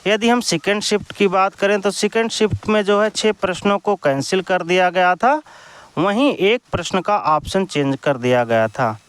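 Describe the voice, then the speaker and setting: A man's voice: high voice, expressive, moderate pace, a bit bright, smooth, no room sound. A man giving an informal explainer to viewers, going step by step with repetitive phrasing. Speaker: exam news YouTuber.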